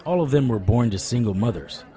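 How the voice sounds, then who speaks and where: An actor playing a stubborn, wisecracking supernatural private investigator in an audio drama, wry, flirtatious and persuasive. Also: male voice, medium pitch, lively intonation, a little fast, mellow, a faint crowd, close to an everyday voice. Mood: determined. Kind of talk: audio drama acting with noir internal monologue.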